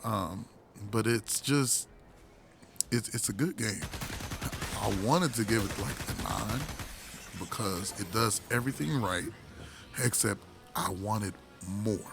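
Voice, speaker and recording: A man with a hoarse voice, recovering from illness, giving a casual, rambling game review full of restarts and laid-back slang. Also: on the low side, takes it slowly, long pauses.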